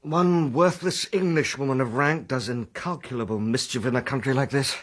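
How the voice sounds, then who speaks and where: An actor playing a world-weary officer who speaks in an emphatic, well-spoken way in a period radio drama. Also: male voice, mid-range voice, normal speed, a bit bright, natural voice. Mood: grave warning. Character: world-weary young officer friend, gravely cautioning.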